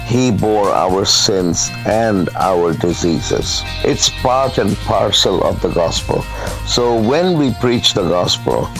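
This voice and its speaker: A middle-aged man from Pakistan, a guest evangelist, telling his faith story in an interview, halting with many fillers and restarts. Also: lowish voice, lively intonation, music in the background, poor audio. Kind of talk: testimony interview.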